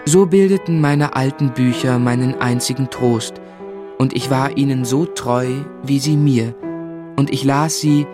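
First-person narrator for literary radio drama, male actor: medium pitch, measured, reflective, clearly audible music underneath. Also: close to an everyday voice. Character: grown man recalling his lonely, miserable boyhood.

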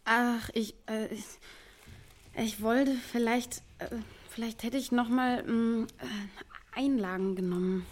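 An actress playing a hesitant, uncertain nursing-home resident in a radio play, stammering and searching for words. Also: female voice, a little high, fairly even intonation, slow. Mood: unsure. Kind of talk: naturalistic radio drama acting.

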